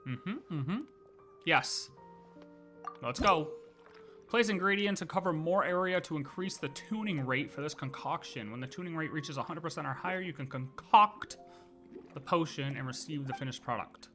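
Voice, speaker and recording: A video game streamer with a bright, cutting voice talks casually to an audience. The delivery is blunt and sarcastic, with muttered asides and bits of on-screen text read out. Faint game music plays behind. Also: mid-range voice, moderate pace. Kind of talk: casual reaction commentary, reads game text aloud.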